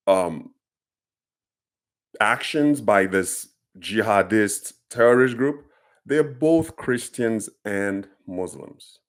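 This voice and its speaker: A Nigerian man talking casually to camera, explaining things earnestly, with plenty of ums and restarts. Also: lowish voice. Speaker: commentator.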